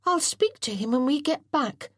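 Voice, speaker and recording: Storybook boy, earnest and formal, voiced by a woman, high, bouncy delivery. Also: lively intonation, normal speed, a bit bright, no room sound, studio quality. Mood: pleading. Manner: precise, formal and proper. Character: eager, earnest well-bred young boy.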